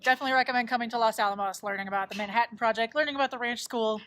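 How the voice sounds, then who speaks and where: A woman's voice: on the high side, very fast, a bit bright, slight background noise. A woman from Illinois co-hosting a casual, upbeat museum video. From Illinois, United States. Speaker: co-host.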